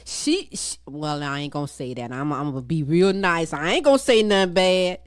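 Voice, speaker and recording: A woman's voice: deep voice, normal speed, no room sound, studio quality. A woman hosting a talk show, playful and sassy, stretching out "baby" in casual chat.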